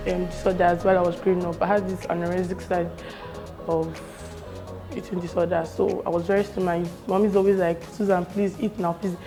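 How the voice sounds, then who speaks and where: A woman's voice: fairly low pitch, mellow. A woman in her twenties with a Nigerian accent, speaking candidly to camera as a reality show contestant, repeating phrases for emphasis. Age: 20s. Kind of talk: confessional interview.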